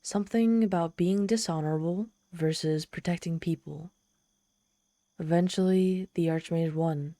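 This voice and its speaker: A woman's voice: fairly low pitch, steady tone, warm tone, no room sound, clean studio sound. A woman playing a proud, easily flustered female demon in an ASMR roleplay, telling her story in a haughty, theatrical manner. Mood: grudging, irritated. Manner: haughty, theatrical, halting when embarrassed.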